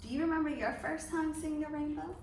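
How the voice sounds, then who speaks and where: A woman speaking as host of a children's reading series, addressing families and narrating a storybook in an expressive, carefully enunciated way. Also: sits high, brisk. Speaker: club president hosting a children's reading series. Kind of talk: welcome speech and read-aloud storybook narration for children. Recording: roomy echo, distant mic, background noise.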